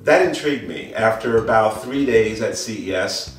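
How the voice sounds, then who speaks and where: A man's voice: fairly low pitch, animated, normal speed. A middle-aged man presenting products informally as an audio dealer, emphatic and fond of repeating phrases. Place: indoor wood-floored listening studio. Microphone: handheld camera a few meters away. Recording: echoey room, distant camera mic, music behind.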